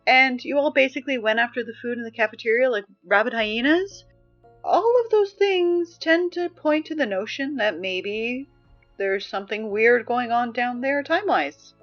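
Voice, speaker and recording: A woman acting as an exasperated, wry high school student reading morning announcements in an apocalypse audio drama, halting and conversational. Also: animated, dull audio, natural voice. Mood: insistent, prying. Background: music.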